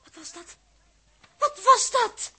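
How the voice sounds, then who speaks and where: A woman's voice: extremely high pitch, lively intonation, slow, pushed and bright, exaggerated voice. An actress playing a frightened young woman aboard a spaceship, plaintive and pleading in 1960s radio drama style. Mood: distraught. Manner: plaintive, fearful delivery. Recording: old 1960s radio recording.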